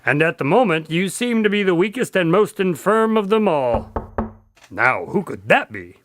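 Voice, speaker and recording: A man as a wry doctor, lively higher voice. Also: moderate pace, slight background noise. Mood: exasperated, teasing. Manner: dry teasing, conversational. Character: Doctor Jack Watson, wry, sensible male doctor and loyal friend. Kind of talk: radio drama acting.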